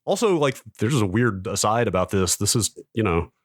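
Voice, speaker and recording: Male podcast co-host, forties, lowish voice, very dry sound, wry and self-deprecating, casual delivery. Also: sing-song, normal speed, dry recording. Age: 40s. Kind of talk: casual chat, reading old forum posts aloud.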